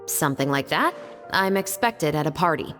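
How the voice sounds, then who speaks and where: An actress playing a cool, guarded adult patrol captain who speaks tersely. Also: female voice, low-pitched, animated, normal speed, fairly bright tone. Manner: terse, understated. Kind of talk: video game voice acting. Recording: game audio, reverb and music.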